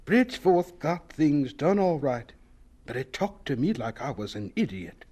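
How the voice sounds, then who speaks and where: Proud sensitive professor in a radio play, husky voice, really emotional up and down delivery. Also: male voice, a little high, big pitch swings, slow pace, a little mellow, exaggerated voice. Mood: aggrieved recollection. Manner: emotional, swinging from eager to wounded. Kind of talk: radio drama acting.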